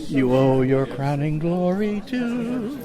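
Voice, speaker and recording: A man's voice: on the high side, big pitch swings, takes it slowly. A man bantering casually before a live audience, chatty and showy, often repeating his phrases. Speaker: amateur performer of old radio commercials and themes. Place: busy club luncheon in a large room. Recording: live in a noisy crowded room. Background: loud crowd chatter.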